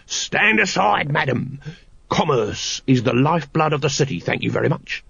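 A man's voice: a little high, lively intonation, moderate pace, gravelly, fairly bright tone, husky, no room sound, highs cut off, voice pushed up, heavily acted. A man voicing a wheedling, whiny male street sausage seller in a storybook reading, with sing-song sales patter. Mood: hawking.

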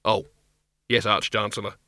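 A man's voice: fairly low pitch, flat delivery, normal speed, pushed and bright, no echo, clean studio sound. A man voicing an eager, gung-ho wizard dean in an audiobook, boyishly enthusiastic yet deferential to his chief. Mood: sheepish.

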